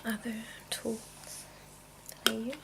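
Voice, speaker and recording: A woman hosting an ASMR video in a breathy whisper, chatting casually and playfully as she shows off her things. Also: on the high side, monotone, measured pace, very noisy. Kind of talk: whispered ASMR, casual show-and-tell of makeup tools.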